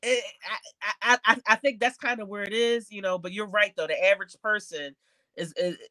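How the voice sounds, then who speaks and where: A woman talks casually as an interview guest, candid and free with slang and swear words. Her voice is mid-pitched and a little stuffy in the nose. The sound is dry and close, with very little room. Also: fairly even intonation, a little fast, sharp tone, no room sound. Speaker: podcast guest, card collector.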